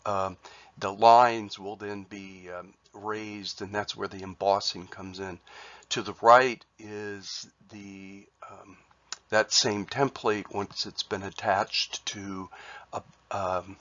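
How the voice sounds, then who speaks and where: A man with a cold, sounding congested, presenting his artwork in a halting way with many fillers and restarts. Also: on the low side, level tone, fairly bright tone, highs cut off. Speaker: artist sharing his work. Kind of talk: casual spoken presentation.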